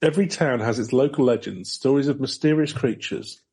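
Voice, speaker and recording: Male podcast host, soft-edged husky tone, relaxed and dry, chatting loosely. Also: mid-range voice, a little fast. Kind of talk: casual chat, reading out an article.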